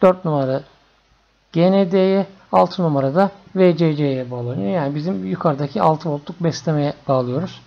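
A man narrating an informal electronics tutorial in an unhurried, matter-of-fact way. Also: fairly high pitch, gravelly. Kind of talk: informal explanatory screencast narration. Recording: dull, lo-fi screencast audio.

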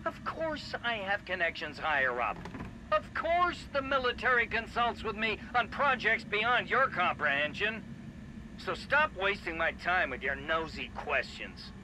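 A man's voice: high voice, very animated, unhurried. A man playing an arrogant, abusive chief biologist in a video game, with a condescending, profane manner. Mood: haughty. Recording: clear background noise.

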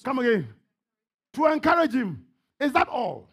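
A Ghanaian man preaches in a very high, husky voice with wide swings of pitch. He is fervent and emphatic, often shouting, and breaks his sermon into short repeated phrases aimed at the congregation. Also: huge pitch swings, normal speed. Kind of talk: fervent, call-and-response sermon with pauses for interpreter. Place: church hall, on stage before a congregation.

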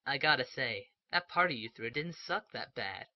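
A man voicing a sullen young male ninja in a comedic anime parody, deadpan and sarcastic. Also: medium pitch, normal speed, no echo, lightly acted. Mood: sarcastic, unimpressed. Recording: dull, dry lo-fi home dub.

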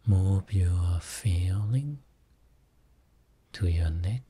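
A man guiding a Buddhist meditation as a teacher, speaking soothingly in short phrases with long pauses between them. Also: sits low, mellow, breathy edge. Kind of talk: guided meditation.

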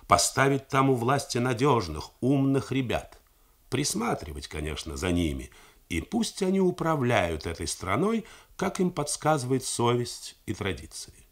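A middle-aged man reading a novel aloud in a measured, theatrical 1980s radio studio style. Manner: measured, expressive theatrical delivery with wide pitch swings.

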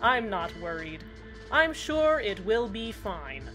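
A woman playing an eerie small-town community radio host in a scripted fiction podcast, deadpan and measured, with deliberate pauses. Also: mid-range voice. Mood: uneasily upbeat. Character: eerily cheerful female small-town community radio host, uneasily upbeat. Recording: music under the voice. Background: background music.

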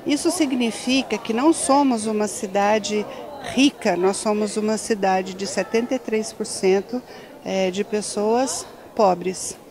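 A woman's voice: mid-range voice, unhurried. A middle-aged woman speaking earnestly in an interview, citing figures, into a handheld mic in a large sports hall. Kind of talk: interview answer. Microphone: handheld mic held up by a reporter. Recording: crowd murmur behind.

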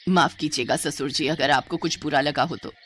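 Woman voicing an exasperated low-pitched older mother-in-law. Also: fairly even intonation, normal speed, a bit bright, slight background noise. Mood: apologetic. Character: exasperated older Indian mother-in-law, scolding yet submissive to elders. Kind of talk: animated moral story dialogue.

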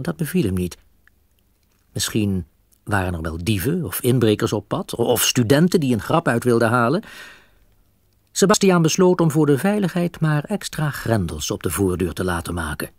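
A man with a husky voice narrating a children's audio story from a script. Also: medium pitch, sing-song, moderate pace, pauses often, darkish tone, no room sound, studio quality.

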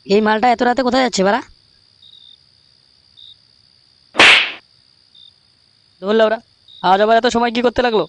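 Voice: medium pitch, steady tone, racing, dry recording, natural voice. A performer voicing a foul-mouthed, irritable young male cartoon buddy in a comic dub. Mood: scheming, annoyed. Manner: crude, swearing, brash.

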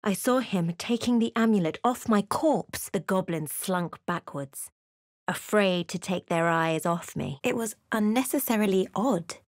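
An actress voicing a brooding, watchful female adventurer in a fantasy video game, telling the tale in a measured, hushed, dramatic way. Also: mid-range voice, no room sound, clean studio sound, close to an everyday voice. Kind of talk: dramatic narrated game voice lines.

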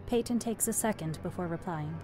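A woman's voice: fairly low pitch, a little fast. A woman reading scripted narration as the narrator of a screenplay. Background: faint music.

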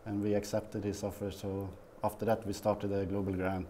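A Swedish man in his fifties, a guest in a small TV studio interview, talking haltingly with many fillers. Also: on the low side, little pitch movement, mellow, slight background noise. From Sweden. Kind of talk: casual interview.

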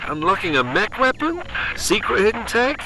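An actor playing a scornful, dismissive internet troll in an audio drama, full of contempt. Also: male voice, sits high, very animated, moderate pace. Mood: sneering. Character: sneering, contemptuous male internet troll watching a livestream. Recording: noisy voice-chat ambience.

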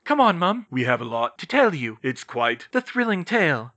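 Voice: mid-range voice, sing-song, normal speed, pushed and bright, dull audio, pitched above the performer's own voice. A narrator voicing a blunt, defiant teenage witch daughter who is snappy and insistent, in a fantasy audiobook.

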